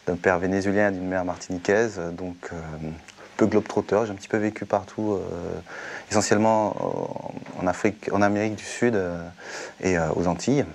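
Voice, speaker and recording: A young man, a singer, giving measured explanations in an interview in a music studio. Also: deep voice, lively intonation, vocal fry, darkish tone. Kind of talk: interview talk.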